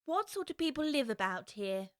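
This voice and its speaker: A woman's voice: high voice, sing-song, moderate pace, no room sound, studio quality. An actress playing a curious, polite young girl who is well spoken and wonders aloud, for a full-cast audiobook.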